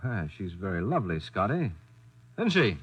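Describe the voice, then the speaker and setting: A man's voice: sits low, very animated, moderate pace, a bit bright, husky, full character voice, poor audio. An actor playing an earnest, steadfast young commando, a man narrating in 1940s radio style. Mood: friendly. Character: earnest, steadfast young commando soldier recalling his training.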